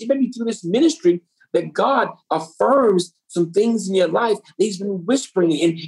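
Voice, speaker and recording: Woman, pastor, sermon, rasp to the voice, breathy edge, questions to the audience. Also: mid-range voice, dark tone, poor audio. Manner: preaching with rhetorical questions to the audience. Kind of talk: sermon to a women's group.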